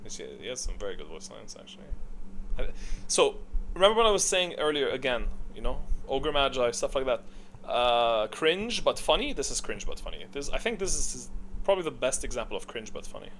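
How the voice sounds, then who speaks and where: A man delivers casual, opinionated commentary as a video creator, thinking aloud as he goes. His pitch moves around a lot, from low asides to lively peaks. There is some steady noise behind the voice. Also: a little fast.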